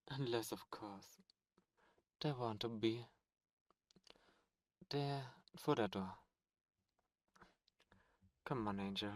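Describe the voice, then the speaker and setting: A man voicing a suave, teasing male demon prince in an ASMR audio roleplay, soft-spoken and playfully menacing. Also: medium pitch, dry recording, natural voice.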